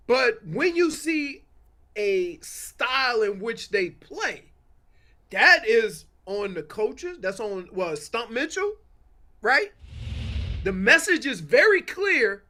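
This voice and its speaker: A man's voice: very high voice, sharp tone. A man talking sports as a guest analyst, emphatic and colloquial, often repeating his phrases for weight. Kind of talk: animated sports talk.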